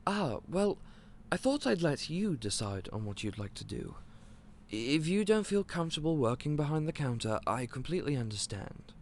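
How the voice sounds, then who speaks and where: An actor playing a gentle, clumsy candy shop owner who stammers and speaks in a polite, old-fashioned way. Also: male voice, fairly high pitch, very animated, moderate pace, a little hiss or hum. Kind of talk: audio roleplay acting.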